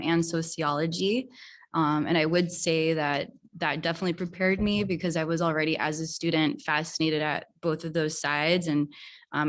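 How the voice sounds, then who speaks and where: A young woman speaking earnestly on a panel, with many pauses and restarts. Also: fairly low pitch, fairly bright tone. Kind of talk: casual panel talk.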